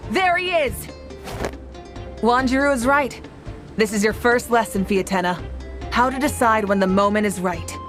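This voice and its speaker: A woman's voice: on the high side, normal speed, pauses often, natural voice. A woman playing a rousing battle leader and mentor in a video game story, commanding and dramatic. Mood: rousing, resolute. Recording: game music behind.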